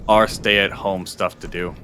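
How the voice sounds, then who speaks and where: A man's voice: low-pitched, fairly even intonation, measured pace, pushed and bright, a little hiss or hum. A young adult man chatting casually on a game stream, explaining workouts in a coaching way, often restarting his sentences.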